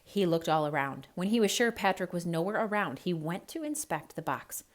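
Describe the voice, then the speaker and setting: A woman reading a children's story aloud in a theatrical, expressive way with emphatic delivery. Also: lowish voice, moderate pace. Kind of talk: theatrical read-aloud narration.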